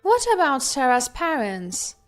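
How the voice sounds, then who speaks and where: Woman acting an eager, high-voiced new grandmother. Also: very animated, measured pace, faint music behind. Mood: dismayed. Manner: eager, pressing.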